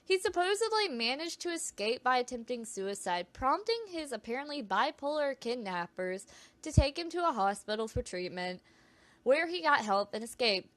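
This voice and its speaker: A woman's voice: high-pitched, very animated, normal speed, a bit bright, no room sound. A woman reading scripted creepy true stories in an informal way, stumbling over words now and then with self-conscious asides. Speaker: video narrator.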